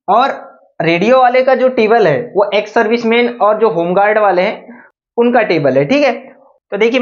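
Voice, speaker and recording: A young woman giving an informal explainer, going step by step, repeating points and often adding check-in tags. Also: medium pitch. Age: young adult. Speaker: exam-prep video presenter and police candidate. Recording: dull lo-fi voice recording.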